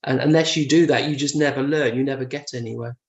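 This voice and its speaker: A man in a casual discussion of Buddhist teaching, talking hesitantly with fillers and soft laughs over a video call. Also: mid-range voice, steady tone, fast talker, roomy sound, muffled recording. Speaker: discussion participant.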